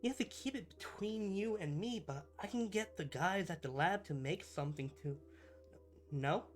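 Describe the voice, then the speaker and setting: A man playing a needy but kind-hearted supervillain in a roleplay ASMR monologue, speaking to the listener in a dramatic, earnest, halting way. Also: high-pitched, lightly acted. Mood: alarmed, pleading. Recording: music behind the voice. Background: background music.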